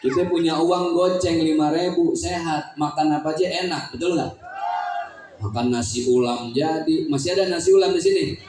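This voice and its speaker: A man's voice: fairly high pitch, moderate pace, background noise. A man preaching with a Betawi accent at a community gathering, joking and teasing the audience with questions. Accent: Betawi Malay. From Jakarta, Indonesia. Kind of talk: humorous religious talk at a community gathering. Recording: echoey, distant hall sound.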